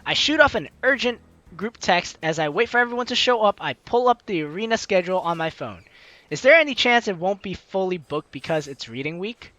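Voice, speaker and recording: A woman hosts a casual let's play, reading game text aloud with playful, slangy asides. Her voice is bright and very animated at a medium pitch, with faint music behind it. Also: a little fast, dry recording, lo-fi sound.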